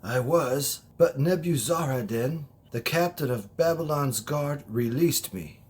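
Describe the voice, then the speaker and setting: A man playing a steadfast, solemn old prophet in an audio drama, husky-voiced. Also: medium pitch, a little slow, a little mellow. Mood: solemn, resolute.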